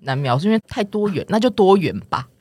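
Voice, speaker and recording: A woman's voice: on the low side, big pitch swings, quick pace, a little mellow, breathy edge, dry recording, studio quality. A woman with a Taiwanese Mandarin accent, chatting casually as a guest on a podcast. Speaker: podcast guest, editor and curator. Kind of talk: casual conversational chat.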